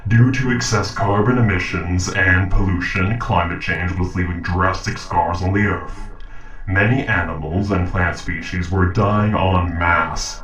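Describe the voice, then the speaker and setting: A man playing a calm, earnest young robot captor in an audio roleplay, speaking formally and precisely. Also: deep voice, echoey room, recorded from a distance, low-quality recording. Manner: formal, measured, polite, precise. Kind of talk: scripted audio roleplay drama.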